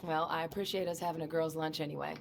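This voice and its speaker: Breezy, low-pitched policewoman, noisy background. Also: fairly even intonation, fast talker, gravelly. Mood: breezy, affectionate. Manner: colloquial, joking. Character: breezy, teasing small-town policewoman, friendly and chatty. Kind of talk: naturalistic video game voice acting.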